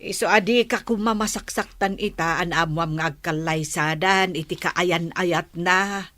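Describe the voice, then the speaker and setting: Lowish voice, unhurried, wise protective mother, counselling, radio drama. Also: sharp tone, dry recording. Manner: counselling, philosophical. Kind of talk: Ilocano radio drama acting.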